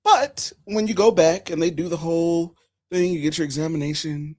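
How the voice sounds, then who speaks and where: A man of forty hosting a casual political chat, telling stories animatedly, swinging between loud and hushed. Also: on the high side, normal speed. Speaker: podcast host.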